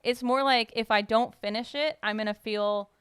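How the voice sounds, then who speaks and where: A woman chatting on her podcast in a smooth, clear voice, recorded close and dry. She rambles, restarts her sentences and leans on fillers as she talks. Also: a little high, steady tone, normal speed, a bit bright, no room sound. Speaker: podcast co-host. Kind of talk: casual chat.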